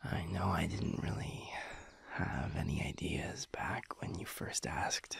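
A man voicing a sweet, affectionate young werewolf lover in an intimate roleplay, speaking hesitantly and tenderly in a gravelly, breathy half-whisper. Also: low voice, full character voice. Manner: slow, hesitant, tender, chuckling between phrases. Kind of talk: intimate boyfriend audio roleplay.

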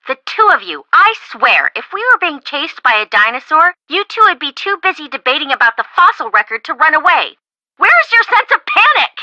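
A woman's voice: high voice, normal speed, no room sound, dull audio, heavily acted. An actress playing a bubbly, chatty, teasing young American woman, a shopping-loving best friend in a video game. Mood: exasperated, teasing. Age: young adult. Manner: expressive, teasing, casual.

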